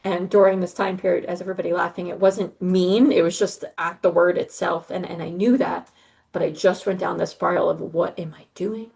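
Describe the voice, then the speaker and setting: A middle-aged woman speaks on a video call in a lowish voice with a gravelly edge. She briefs students in an earnest, reassuring way, pausing often on ums and uhs. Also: steady tone, a little mellow. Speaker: study abroad advisor.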